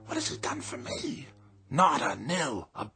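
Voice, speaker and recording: A man's voice: fairly high pitch, wildly swooping pitch, a little slow, vocal fry, sharp tone, husky, faint music behind, exaggerated voice. An actor playing a bitter, self-pitying hypochondriac husband in a radio drama, querulous and theatrical as he accuses and complains. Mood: bitter, indignant.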